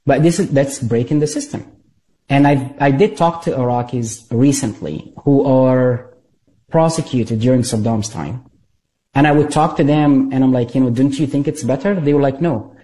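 Guy, dark mellow voice, stops and repeats himself a lot. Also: medium pitch, poor audio. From Egypt. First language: Arabic. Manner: halting, repeats words, many fillers. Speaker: guest, former intelligence operative. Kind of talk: interview storytelling.

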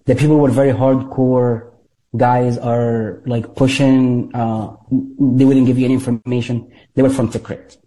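Guy, dark mellow voice, stops and repeats himself a lot. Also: mid-range voice, poor audio. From Egypt. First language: Arabic. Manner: halting, repeats words, many fillers. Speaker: guest, former intelligence operative. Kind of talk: interview storytelling.

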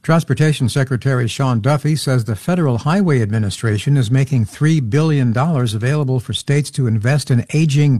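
A man's voice: medium pitch, a little mellow, slightly rough, no room sound, studio quality. A man reading a scripted radio news bulletin as a reporter.

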